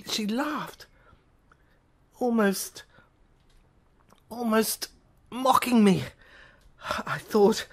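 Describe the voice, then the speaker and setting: Female narrator for an audio drama, slow-paced, first-person, halting delivery with repeated words and broken phrases. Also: on the high side.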